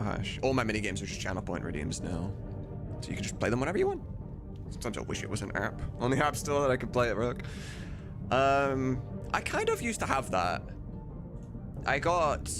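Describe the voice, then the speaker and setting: A man with a fairly low, very animated voice hosts a casual livestream. He talks informally and gets sidetracked easily while he works. Music plays behind him, along with computer typing. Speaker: livestream host and voice mod editor. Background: music and keyboard clicks.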